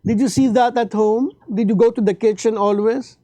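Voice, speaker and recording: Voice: mid-range voice, moderate pace, dark tone, dry recording. An interviewer, asking friendly questions and reacting with short backchannels. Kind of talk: casual interview.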